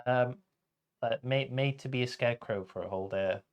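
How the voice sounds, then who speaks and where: A man presenting a casual show as co-host, halting, repeating words and often clearing his throat. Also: medium pitch, highs cut off.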